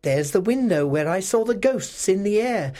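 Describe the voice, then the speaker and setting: Giddy, high-voiced reformed old miser. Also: male voice, lively intonation, moderate pace, warm tone. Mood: giddy joy. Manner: exclamatory, gleeful.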